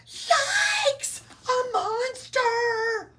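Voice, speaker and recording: A woman's voice: very high voice, big pitch swings, extremely slow, bright, forceful tone, raised voice, voice pushed up, heavily acted. A woman voicing an earnest, curious young male iguana in a storybook read-aloud. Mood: startled. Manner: earnest, questioning. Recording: noisy room, distant microphone.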